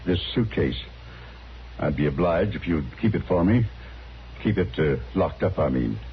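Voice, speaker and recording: An actor playing a formal, anxious older gentleman traveler, polite and hesitant, in an old-time radio western. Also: deep voice, lively intonation, moderate pace. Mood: earnest, guarded. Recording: muffled, noisy old radio recording.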